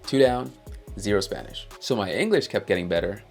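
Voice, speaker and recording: A man's voice: lowish voice, animated, a little fast, gravelly, darkish tone, soft background music. A young man from Haiti whose first language is Haitian Creole, telling his own story in an earnest, upbeat scripted narration. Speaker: language-learning YouTuber.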